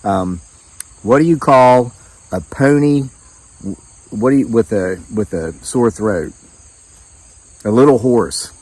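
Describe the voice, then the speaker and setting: A hoarse man hosting his own channel, talking to camera in an indignant, folksy way and reading out viewer comments. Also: medium pitch, expressive, takes it slowly, pauses often, darkish tone, no room sound, poor audio. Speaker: channel host.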